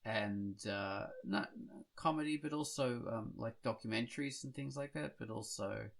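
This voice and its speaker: A man's voice: medium pitch, normal speed, creaky. A man hosting a podcast in casual chat, rambling and thinking aloud as he goes. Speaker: podcast host.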